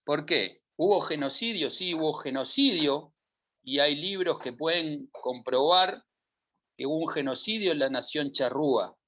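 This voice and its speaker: A middle-aged man with a Uruguayan Spanish accent speaks on a discussion panel. His voice sits fairly high and bright, and he stacks up emphatic lists of words. The sound is dull and lo-fi. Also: measured pace. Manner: emphatic, building lists of verbs. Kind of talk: discussion talk. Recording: dull lo-fi audio.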